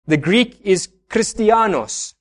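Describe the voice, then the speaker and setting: Male pastor, high voice, earnest explanatory sermon delivery. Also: animated, slow pace. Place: church.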